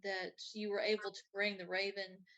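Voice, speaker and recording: A woman co-hosting a casual chat, speaking in halting phrases that often trail off. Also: mid-range voice, level tone, fairly bright tone, poor audio. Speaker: co-host.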